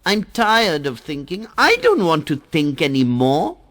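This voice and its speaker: Man playing a whiny water-drop boy, high and squeaky, slow pleading storybook voice. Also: a bit bright. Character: restless bored little boy water drop.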